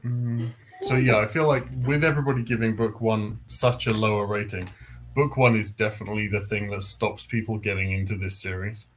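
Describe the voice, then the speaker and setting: A man with a slightly low voice chats casually about books as a co-host. There is faint noise behind him. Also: fairly even intonation, brisk. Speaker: read-along co-host. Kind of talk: casual book discussion. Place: online live video chat.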